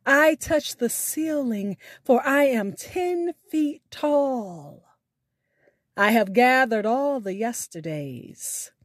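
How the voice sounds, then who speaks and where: A woman hosting a podcast, reading scripted announcements in a deliberate, carefully enunciated way. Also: on the high side, lively intonation, no room sound, clean studio sound. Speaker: podcast host.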